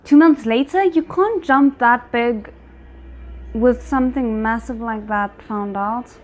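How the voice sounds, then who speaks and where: A woman's voice: on the high side, takes it slowly. A woman hosting a reaction video, commenting casually on a drama in short exclamations and musings. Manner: exclaims and muses aloud in short remarks.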